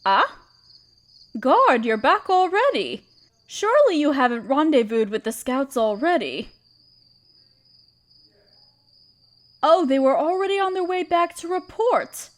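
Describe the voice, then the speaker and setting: Female actor as regal spider queen, high voice, slow delivery, gentle, soothing, formal, motherly, fantasy audio roleplay. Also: exaggerated voice. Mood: worried. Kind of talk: scripted roleplay audio drama. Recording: crickets chirping behind the voice. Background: crickets and night insects.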